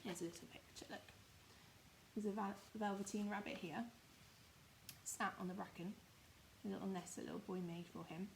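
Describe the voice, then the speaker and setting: A woman's voice: medium pitch, fairly even intonation, moderate pace, gravelly, long pauses, slight background noise, rough recording. A woman reading a children's story expressively, with emphatic, dramatised phrasing. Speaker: primary school storyteller.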